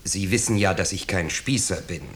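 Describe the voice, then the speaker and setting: A pompous, deep-voiced bourgeois gentleman. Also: moderate pace. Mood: genial, fussy. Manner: fussily polite, hedging, self-righteous. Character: pompous middle-aged bourgeois businessman, flustered, falsely genial host. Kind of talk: 1950s German radio drama acting. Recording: noisy, clicking 1950s radio recording.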